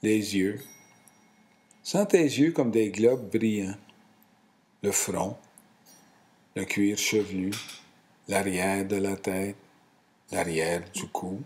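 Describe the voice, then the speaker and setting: A man leading a guided relaxation in a calm, soothing and deliberate way, in short phrases. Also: lowish voice. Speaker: guided meditation instructor.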